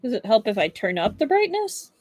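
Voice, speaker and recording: A woman livestreaming as a book reader, with expressive storybook narration and casual chat. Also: a little high, brisk.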